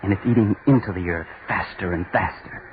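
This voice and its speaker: A man's voice: low voice, brisk, close to an everyday voice. Defiant young male student inventor, played by an actor, fervent and insistent, 1940s radio drama, thin hissy sound. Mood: grim, taunting. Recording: noisy, tinny 1940s radio recording.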